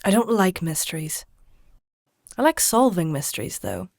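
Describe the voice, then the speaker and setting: An actress playing a clever, defiant healer with a matter-of-fact, insistent manner in a fantasy audio drama. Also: female voice, fairly low pitch, very animated, moderate pace, creaky, darkish tone, dry recording, clean studio sound, heavily acted. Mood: reflective.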